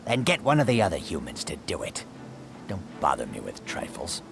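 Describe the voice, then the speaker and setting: An actor playing a cranky, arrogant old gnome-like inventor in a fantasy video game, barking with impatient scorn. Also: male voice, sits low, wildly swooping pitch, normal speed, full character voice. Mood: callous. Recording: clear music underneath.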